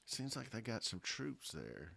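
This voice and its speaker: A man with a lowish, hoarse voice talks through his moves in a strategy game. He thinks aloud, trailing off and correcting himself as he weighs his options. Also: huge pitch swings, unhurried, sharp tone. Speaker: gameplay commentator. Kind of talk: casual let's-play commentary.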